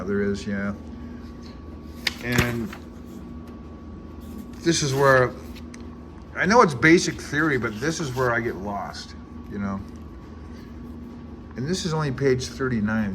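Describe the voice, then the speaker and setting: A clearly noisy recording carries a man's mid-pitched voice. He talks casually, like an unscripted hobby vlogger thinking aloud. His delivery rambles and halts, and he is openly self-deprecating. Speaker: amateur radio hobbyist making study progress videos.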